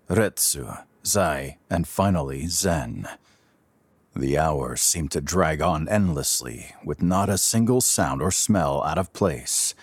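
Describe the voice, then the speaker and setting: Casting: male narrator, very low husky voice, theatrical scripted delivery for an adventure audio drama. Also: no echo. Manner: theatrical, deliberate storytelling.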